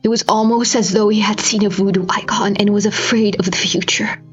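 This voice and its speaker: A woman giving a dramatic scripted narration, grave and theatrical, with deliberate phrasing. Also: medium pitch, steady tone, breathy edge. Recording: slightly dull recording with music behind. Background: music.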